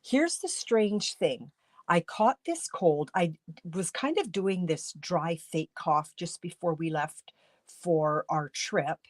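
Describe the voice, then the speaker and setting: A woman from Canada hosting a casual craft livestream from her home studio, congested with a cold, chatty and rambling, often clearing her throat. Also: fairly low pitch, expressive, slow pace, no echo. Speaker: livestream host and stamping demonstrator.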